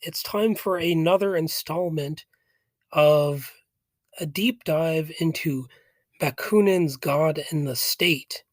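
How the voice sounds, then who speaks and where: A man hosting a podcast with a raspy voice from a cold, commenting casually and rambling with hesitant, jokey asides. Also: fairly high pitch, slow, dry recording. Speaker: podcast host. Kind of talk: casual commentary on a philosophy text.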